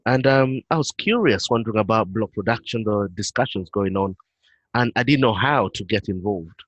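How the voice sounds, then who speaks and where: British guy on a video call, relaxed explaining with lots of um's, low voice, dry sound. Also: moderate pace, no room sound, highs cut off. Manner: relaxed, explanatory, frequent um fillers. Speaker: guest, head of operations.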